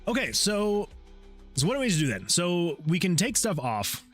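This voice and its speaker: A man hosting a game development livestream, chatting casually with viewers and rambling excitably as he thinks out loud. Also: high voice, normal speed. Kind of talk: casual chat with stream viewers while working. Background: background music.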